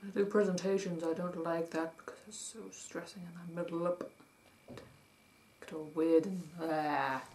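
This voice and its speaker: Slow-talking woman with a husky voice. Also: fairly low pitch. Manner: rambling, chatty, laughs at herself, spells words out letter by letter. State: husky voice, not warmed up. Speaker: vlogger. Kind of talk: casual vlog, reading points from a list and commenting. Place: at home by day, with traffic outside. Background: faint traffic.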